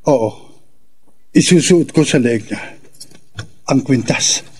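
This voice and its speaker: An actor playing an anxious, bewildered husband who speaks haltingly and stammers in a horror radio drama. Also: male voice, mid-range voice, a little mellow, slightly rough.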